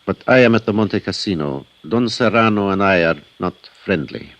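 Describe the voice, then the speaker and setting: An actor playing a formal, grieving Cuban husband with a Spanish accent, grave and halting, in an old-time radio drama. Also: male voice, fairly low pitch, expressive, highs cut off. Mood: somber, formal. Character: grieving, courteous Cuban husband, an export trader. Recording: vintage radio recording with faint noise.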